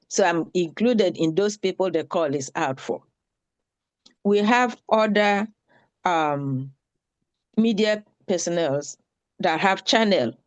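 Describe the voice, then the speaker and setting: A woman's voice: fairly low pitch, a little mellow. A woman makes an earnest, pleading appeal at an online town hall. She repeats her points for emphasis.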